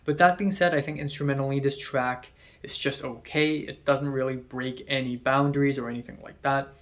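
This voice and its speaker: A man's voice: mid-range voice, fairly even intonation, normal speed. Chatty young man reviewing an album. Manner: chatty, lots of fillers, casual swearing. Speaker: album reviewer, channel host. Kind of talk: casual spoken album review.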